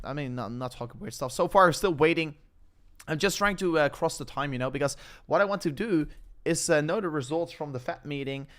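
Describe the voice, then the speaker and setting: A man's voice: mid-range voice, smooth. Rambling Dutch-accented man on a livestream. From Netherlands. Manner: rambling, self-interrupting, hesitant with fillers. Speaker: crypto livestream host. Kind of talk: casual live-stream chat.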